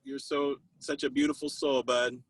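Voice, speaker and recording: A man's voice: mid-range voice, level tone, moderate pace, breathy edge, no room sound. A man hosting a casual online group video call, chatting in an effusive, sentimental way. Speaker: host of an online spiritual study group.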